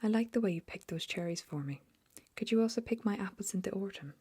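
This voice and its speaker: A woman voicing a hot-tempered, rich old male duke in a breathy whisper, shouting under her breath. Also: mid-range voice, expressive, fast, voice pushed up. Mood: pleased. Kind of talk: whispered storybook reading.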